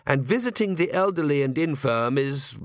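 Guy doing an awkward young priest, high jittery voice, stammers, too polite. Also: expressive, normal speed, no room sound, clean studio sound. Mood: stammering. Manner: stammering, hesitant, overly polite.

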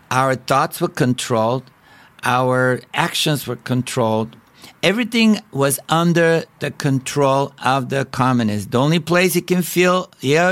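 A middle-aged man from Bulgaria with a Bulgarian accent, telling his personal story as a podcast host in an earnest, halting way with frequent restarts. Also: mid-range voice, a bit bright, no echo. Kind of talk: personal testimony.

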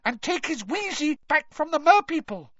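A tiny male house-elf servant speaks in a very high squeak. He is earnest and deferential, talks about himself in the third person, and his pitch leaps about in a dry recording. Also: sing-song, moderate pace, fairly bright tone, no room sound, highs cut off. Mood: excited, insistent. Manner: third-person speech, broken grammar, deferential.

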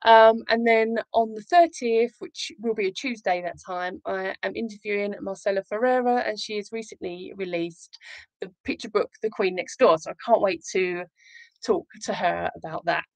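A woman's voice: mid-range voice, normal speed, dry recording, low-quality recording. Chatty woman hosting a livestream. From Essex, England. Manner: rambling, self-deprecating, effusive. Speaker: live show host, children's author.

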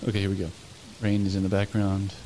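A young man co-hosting a casual podcast, joking around and reciting a movie monologue slowly. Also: deep voice, monotone, warm tone, a little hiss or hum, low-quality recording. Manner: laid-back, joking, slow dramatic recitation. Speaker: podcast co-host. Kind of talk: casual chat.

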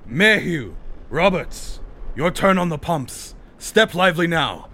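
A man voicing a commanding ship's boatswain who bellows loud orders in an audiobook. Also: fairly high pitch, very animated, takes it slowly, slight background noise, voice pushed up, exaggerated voice. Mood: urgent, commanding. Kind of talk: audiobook character dialogue.

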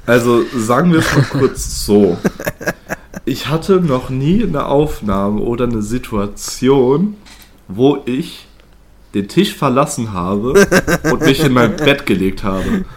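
A man's voice: mid-range voice, animated, slow pace. A man with a cold who hosts the podcast, chatting casually with many fillers and bursts of laughter. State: has a cold, slightly congested. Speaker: podcast host. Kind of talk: casual chat. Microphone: own home microphone setup.

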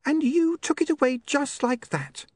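A man voicing a prim, sharp young lady, indignant and suspicious, in an audiobook story. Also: very high-pitched, sing-song, normal speed, no echo, studio quality, pitched above the performer's own voice.

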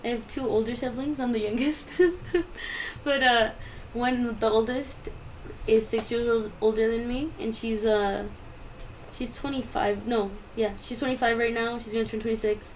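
A woman's voice: fairly high pitch, steady tone, moderate pace, a little hiss or hum, rough recording. A nineteen-year-old woman co-hosting a podcast, speaking casually and hesitantly, often trailing off. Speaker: podcast co-host. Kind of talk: casual chat.

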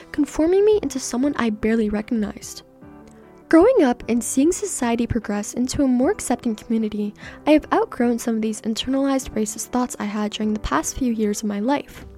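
A young woman from Canada, a native Persian speaker, reading a personal essay in an earnest, measured way as a podcast host. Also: a little high, warm tone.

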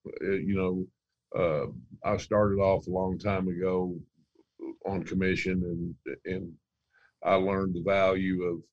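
Very deep-voiced earnest man. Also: flat delivery, slow, a little mellow, no echo, dull audio. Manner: earnest, plainspoken, with frequent fillers. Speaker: account manager. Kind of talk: casual interview talk.

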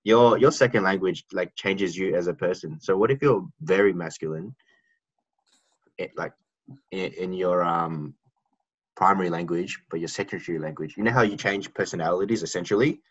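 A rambling man with a lowish voice chats with his mates on a podcast, often restarting his sentences. The recording sounds dull and lo-fi. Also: moderate pace, breathy edge. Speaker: podcast co-host. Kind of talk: casual podcast banter.

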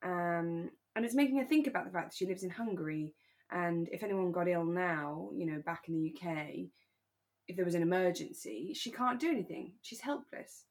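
A woman's voice: on the low side, moderate pace, a little mellow. A woman chatting casually as a podcast co-host, recapping a radio drama in a conversational way. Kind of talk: relaxed chat discussing a radio drama.

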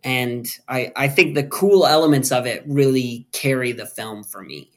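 A man's voice: medium pitch, normal speed, fairly bright tone. A man in his twenties hosting a podcast, chatting and teasing his co-host about a film. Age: 20s. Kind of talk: casual movie review chat.

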